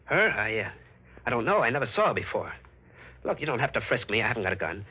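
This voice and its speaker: A man's voice: mid-range voice, sing-song, fast, breathy edge. An American man acting a tough, wrongly accused ex-con who tells his story in terse slang, in 1940s radio style. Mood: defensive, agitated. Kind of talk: 1940s radio acting, hardboiled first-person narration. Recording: muffled vintage radio recording with music behind.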